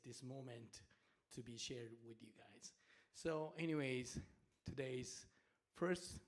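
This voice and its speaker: A middle-aged man from Japan, a native Japanese speaker, hosting a storytelling night on a theater stage in a halting, chatty way with frequent laughs and fillers. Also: mid-range voice, slightly rough. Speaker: host and storyteller. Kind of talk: casual live stage hosting.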